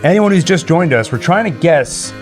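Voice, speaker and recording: A man's voice: on the high side, lively intonation, moderate pace. A man hosting a casual livestream, chatting and speaking directly to viewers. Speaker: livestream host. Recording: music playing behind the voice. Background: game and tune music.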